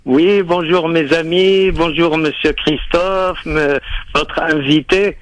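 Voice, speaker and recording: A man from Lebanon calling in to a radio show, talking in a halting, rambling way. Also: on the high side, fairly even intonation, rough recording. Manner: rambling, halting, heavy pauses. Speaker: radio caller. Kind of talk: call-in radio talk.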